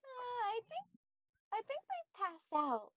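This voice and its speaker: A woman's voice: very high-pitched, huge pitch swings, slow pace, a bit bright, lo-fi sound, a little hiss or hum, heavily acted. A woman playing a frantic, falsely cheerful ragdoll in a cartoon fandub, rambling in a nervously breezy, theatrical way.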